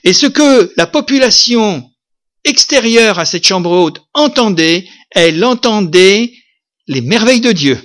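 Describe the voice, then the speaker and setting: A man preaching a sermon with emphasis, lifting his voice to loud peaks and then dropping it low. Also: lively intonation, measured pace. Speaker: pastor.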